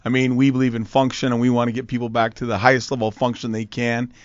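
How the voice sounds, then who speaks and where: A man's voice: mid-range voice, level tone, fast talker, no echo, highs cut off. A man who is a physical therapist hosting a radio show, enthusiastic and full of agreement. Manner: affirming, enthusiastic. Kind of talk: radio interview.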